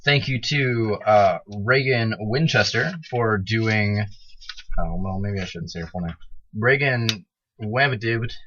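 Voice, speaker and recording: A man's voice: on the low side, slow, fairly bright tone. A young man co-hosting a casual podcast, talking hesitantly with lots of fillers and restarts. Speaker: podcast co-host. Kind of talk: casual chat. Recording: dull lo-fi recording.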